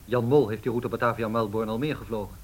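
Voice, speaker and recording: Quick, husky, dutiful pilot. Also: male voice, on the low side, fairly even intonation. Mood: respectful, businesslike. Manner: clipped, deferential, factual. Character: crisp, loyal, matter-of-fact male airline pilot.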